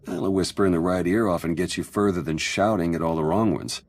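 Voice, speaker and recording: A sly, dry-witted spy. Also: male voice, low-pitched, steady tone, quick pace, no echo. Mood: wry. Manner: dry, wry and confiding.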